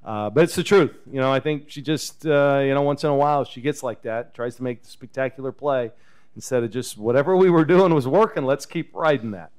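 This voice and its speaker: A man in his fifties fields questions after a game, loose and conversational. His voice comes through smooth and resonant on a table microphone in a press room. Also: mid-range voice, fairly quick. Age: 50s. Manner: relaxed, chatty, chuckles often. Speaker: head basketball coach. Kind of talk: post-game press conference. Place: press conference room with backdrop. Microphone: table microphone on a stand.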